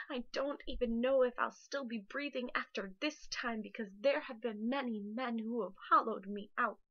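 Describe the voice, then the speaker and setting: Woman, twenties, forceful bright tone, slightly high voice, emotive slam poetry delivery, muffled lo-fi sound. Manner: intense, emotive, dramatic phrasing with weighted pauses. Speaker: slam poet. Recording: dull lo-fi microphone sound.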